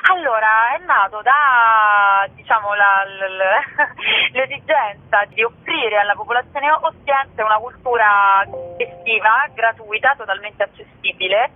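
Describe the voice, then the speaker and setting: A woman's voice: on the high side, measured pace, soft background music. A woman speaking spontaneously as a festival curator in an interview, sometimes searching for words mid-phrase, over a telephone. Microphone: telephone call. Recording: narrow, tinny telephone line.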